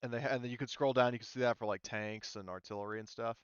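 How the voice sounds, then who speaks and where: A man's voice: medium pitch, steady tone, racing, smooth, no room sound, highs cut off. A man acting as game master, talking players through a tabletop game in a casual, informal way with some swearing.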